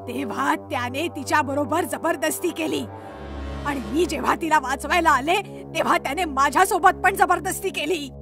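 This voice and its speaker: A woman playing a vengeful, bitter female ghost in a horror story, with slow, menacing, drawn-out delivery. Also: high voice. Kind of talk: horror cartoon acting.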